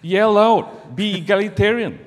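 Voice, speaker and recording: A middle-aged man from Malaysia, a barrister giving a measured, deliberate public talk to students from a podium in a lecture hall. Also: high voice, animated. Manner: measured, deliberate delivery with pauses. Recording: roomy hall with long reverb, faint background noise.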